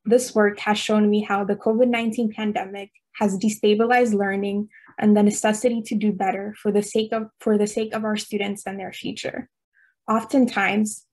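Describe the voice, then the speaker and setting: Young woman from ethiopia reading her speech, pretty flat and even, steady. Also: medium pitch, normal speed. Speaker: student panelist, tutor. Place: home room on a video call.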